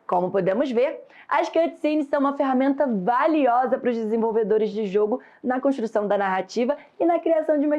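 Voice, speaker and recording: A woman's voice: sits high, mellow, highs cut off. A woman with a Brazilian accent narrating a scripted lesson as an instructor, in a didactic way. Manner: clear, didactic.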